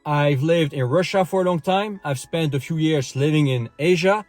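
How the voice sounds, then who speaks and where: A European man hosting his channel, giving a casual opinion monologue, talking in a conversational way that keeps checking in with "okay?" and filling pauses with "uh" and "you know". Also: fairly high pitch, a little mellow, no echo, faint music behind. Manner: conversational, frequent "okay?" tag questions and fillers. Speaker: channel host. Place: France.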